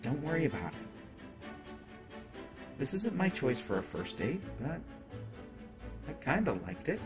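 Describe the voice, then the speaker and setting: A man playing a flirtatious, teasing superhero who is secretly smitten, in a halting, playful conversational way for a roleplay audio drama. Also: on the high side, sing-song, music in the background. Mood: flirty, playful. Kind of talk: roleplay audio drama, acted monologue to listener.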